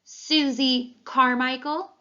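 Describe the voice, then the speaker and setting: A woman's voice: high voice, fairly even intonation, takes it slowly, sharp tone, voice pushed up, full character voice. Woman reading as an earnest young schoolboy, emphatic. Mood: booming announcer. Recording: dull, lo-fi sound.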